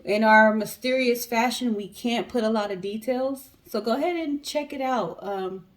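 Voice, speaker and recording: A woman's voice: on the high side, normal speed. American woman telling true crime stories. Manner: conversational, colloquial, addresses audience directly. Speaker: co-host of a storytelling show. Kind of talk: casual true crime storytelling to viewers.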